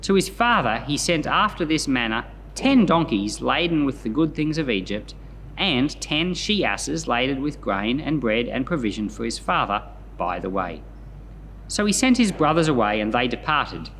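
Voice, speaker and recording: Expressive man with lively, swooping pitch. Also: normal speed, slight background noise, low-quality recording. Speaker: Bible podcast host and pastor. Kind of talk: Bible reading followed by casual commentary.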